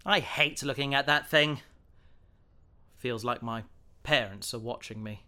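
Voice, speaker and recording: A man's voice: mid-range voice, unhurried, bright, forceful tone, clear, resonant tone. A man playing an earnest, awkward young recruit in a science fiction audio drama, hesitant and modest. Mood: glum.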